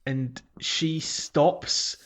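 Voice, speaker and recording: A man's voice: fairly high pitch, steady tone, drawn-out words, a bit bright. A man co-hosting a gaming podcast in casual conversation, jokey and given to chuckling. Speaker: podcast co-host.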